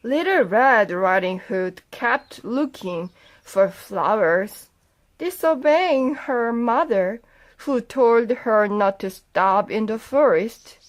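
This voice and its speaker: High-voiced, very slow woman storyteller. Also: lively intonation. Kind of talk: children's fairy tale narration.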